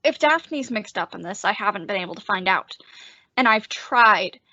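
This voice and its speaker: A woman's voice: mid-range voice, animated, normal speed, sharp tone, no echo. A woman playing a desperate, lovesick husband with urgent, earnest pleading, in old-time radio drama style. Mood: worried. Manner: urgent, imploring delivery. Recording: dull lo-fi close dry recording.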